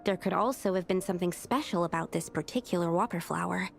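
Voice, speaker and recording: A woman voicing a curious young female alchemist in a video game, explaining in a thoughtful, measured way. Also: on the low side, vocal fry, faint music behind.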